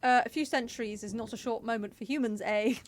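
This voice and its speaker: A woman's voice: fairly high pitch, steady tone, quick pace, slight background noise. A woman doing casual live game commentary, with jokey, informal asides. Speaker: game streamer. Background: game music.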